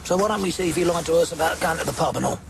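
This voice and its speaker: An actor playing a dry, sardonic London police detective in an audio drama, talking conversationally. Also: male voice, fairly high pitch, racing, gravelly, noisy background. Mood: probing. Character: wry, seasoned London police detective, dryly needling.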